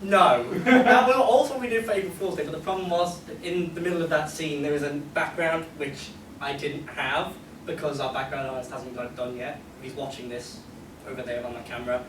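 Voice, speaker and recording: A man's voice: a little high, fairly even intonation, brisk, background noise. A man hosting a convention panel, talking casually and jokingly in a room. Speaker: convention panel host, voice actor. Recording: echoey convention room, distant.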